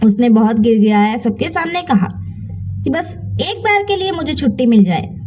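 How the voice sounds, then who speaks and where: A woman storyteller with a high, softly mellow voice narrates a moral bedtime tale. She tells it dramatically and emotively, leaving deliberate pauses between phrases. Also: sing-song.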